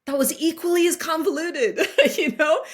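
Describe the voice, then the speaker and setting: A woman's voice: high voice, normal speed. A woman speaking as a podcast guest, reflective and searching, with frequent fillers and restarts. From Guatemalan and Danish roots, partly raised in the United States. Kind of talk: reflective conversational talk.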